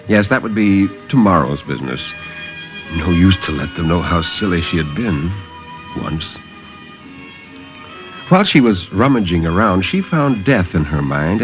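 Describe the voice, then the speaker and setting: Male narrator, lowish voice, very animated, theatrical story reading, muffled vintage radio recording.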